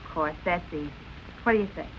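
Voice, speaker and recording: A woman's voice: sits low, quick pace, a bit bright, a little hiss or hum, poor audio. An actress playing a determined, plucky young woman sleuth in a 1940s film noir style. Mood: coaxing. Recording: old film soundtrack with music behind.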